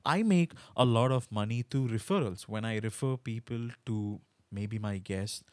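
A man's voice: lowish voice, normal speed, smooth, no echo. A man hosting a podcast, talking conversationally to listeners and guests in an emphatic way. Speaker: podcast host.

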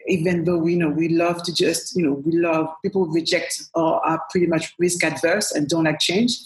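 A woman's voice: sits low, little pitch movement, normal speed, reverberant, low-quality recording. A woman with a French accent, a brand strategist guest, answering interview questions in a storytelling way with many "you know" fillers. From France.

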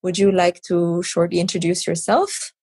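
A woman with a fairly low voice hosts a podcast interview. She speaks conversationally, prompting gently, and the sound is dry with little room in it. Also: fairly even intonation, normal speed, slightly rough, no room sound. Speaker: podcast host.

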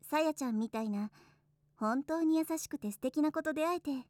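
A woman's voice: high voice, normal speed, darkish tone, dry recording, clean studio sound, lightly acted. A woman playing a teasing, doting older-sister hostess in a Japanese voice drama, with a coy, soothing delivery. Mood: tender. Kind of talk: Japanese situation voice drama acting.

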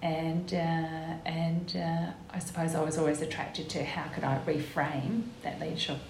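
An Australian woman whose first language was Italian talks in a sure, emphatic way, often agreeing with "absolutely." Her voice sits low for a woman. The room is echoey and there is a faint hiss behind her. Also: little pitch movement, unhurried. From Western Australia. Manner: confident, emphatic, frequent "yeah, absolutely". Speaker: guest, executive officer of a gender equity group. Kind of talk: conversational podcast interview.

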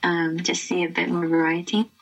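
A woman's voice: fairly low pitch, completely flat delivery, normal speed, over music, rough recording. Young woman answering casually. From Britain, half Chilean. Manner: friendly, laughs lightly between answers. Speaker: interview guest. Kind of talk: casual conversational interview.

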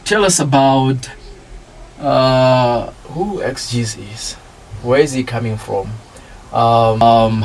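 A man hosting a community radio interview in a studio, speaking politely with many pauses and fillers. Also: mid-range voice, low-quality recording. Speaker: radio host.

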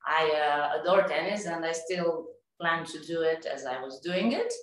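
A woman with a Serbian accent giving casual interview answers, haltingly searching for words in English. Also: low-pitched, very echoey. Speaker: interview guest, tennis coach and painter.

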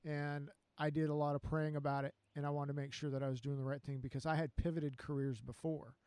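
A man, telling his story as an interview guest in an earnest, unhurried way. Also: fairly high pitch, level tone, a little mellow, clean tone, no room sound. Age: 50s. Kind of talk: casual interview chat.

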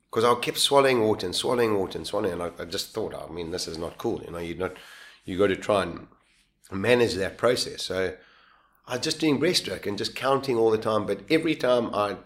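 A man with a fairly low voice tells his story in a relaxed interview. He talks animatedly, stumbling and restarting mid-sentence and laughing at himself now and then. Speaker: guest telling a survival story.

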